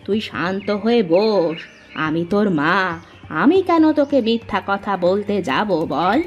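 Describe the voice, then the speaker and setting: A woman's voice: medium pitch, animated, measured pace, lo-fi sound, soft background music, lightly acted. A woman playing a kindly but firm old village woman in a dramatic fairy-tale cartoon. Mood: stern. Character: kindly, firm old village mother and lonely hut-dwelling granny.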